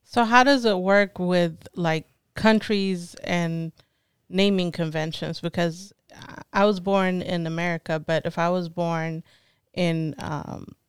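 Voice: mid-range voice, steady tone, takes it slowly, clear, resonant tone, no room sound, studio quality. An interviewer from East Africa who asks curious follow-up questions in a casual tone. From Ethiopia or Eritrea.

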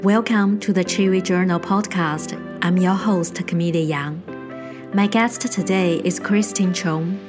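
A woman hosts a podcast interview, speaking softly and a little haltingly. Her voice sits on the low side, and faint music plays underneath. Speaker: podcast host.

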